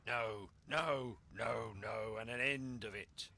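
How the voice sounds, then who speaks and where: Man acting out a gruff, forceful sea captain. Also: medium pitch, slow, slight background noise, heavily acted. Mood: gruff, agitated. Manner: curt, emphatic bursts. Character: gruff, hunted old sea captain, hard-drinking.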